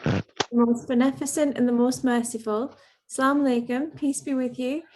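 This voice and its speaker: A young woman with an English accent, opening an online event as host with measured, prepared remarks and occasional ums. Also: sits high, steady tone, noisy background. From England.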